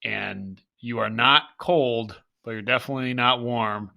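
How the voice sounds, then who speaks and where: A man co-hosting a podcast with a bright, forward tone and mid-range pitch. He sounds a little stuffed up, but he stays upbeat and playful as he explains with images and metaphors. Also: a little slow, vocal fry. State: congested and stuffy. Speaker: podcast co-host. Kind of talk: casual podcast chat.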